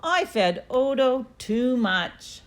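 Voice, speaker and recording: Lady doing a worried little kid from a storybook, high voice, pleading, really animated. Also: takes it slowly, full character voice. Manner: urgent, pleading. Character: anxious, pleading young boy who overfed his fish. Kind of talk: children's storybook read-aloud.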